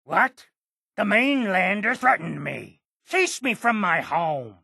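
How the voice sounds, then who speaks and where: A cantankerous old farmer from a video game. The voice is husky and crackles with fry, swinging widely in pitch as it grumbles. Delivery is slow, stubborn and full of resentment. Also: female voice, big pitch swings, bright, forceful tone, no echo, clean studio sound, full character voice. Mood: indignant, bitter. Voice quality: raspy, creaky. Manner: indignant, grumbling. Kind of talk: video game character acting.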